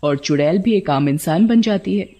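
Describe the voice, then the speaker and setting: A narrator telling a scripted horror tale for an animated story. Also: mid-range voice, normal speed, lo-fi sound.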